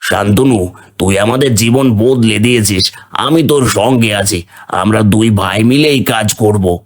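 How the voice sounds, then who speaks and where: A man as a low-voiced earnest village elder. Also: a little slow, natural voice. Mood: grateful. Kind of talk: cartoon voice acting.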